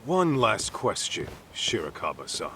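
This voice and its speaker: An actor playing a tough, hot-tempered middle-aged investigator in a dramatic video game cutscene, speaking in a blunt, confrontational way. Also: male voice, on the low side, huge pitch swings, unhurried, sharp tone, slight background noise, full character voice. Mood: probing, serious.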